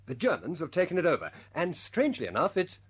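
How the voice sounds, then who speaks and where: An actor playing an earnest, good-humoured RAF squadron leader in a vintage radio drama. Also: male voice, fairly high pitch, wildly swooping pitch, quick pace. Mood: brisk, jovial. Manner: hesitant stammers when pressing a point, otherwise commanding.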